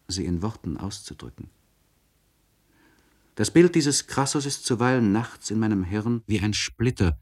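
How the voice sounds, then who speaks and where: An actor playing an anguished, courtly poet in a German radio play monologue from the 1960s, declaiming solemnly with measured, weighty phrasing. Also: male voice, fairly low pitch, darkish tone. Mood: brooding, solemn, then feverish intensity. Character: anguished, courtly young poet writing a confessional letter. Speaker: actor reading a literary letter.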